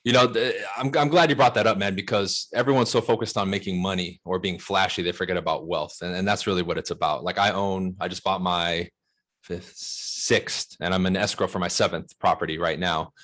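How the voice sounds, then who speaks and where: A man's voice: deep voice, fairly even intonation, brisk, fairly bright tone. A man in his mid-thirties with an American accent, talking casually and emphatically as an interview guest, with slangy check-ins. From Los Angeles, United States. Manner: slangy, emphatic, often checks with "right?" and "bro". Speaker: interview guest, real estate broker.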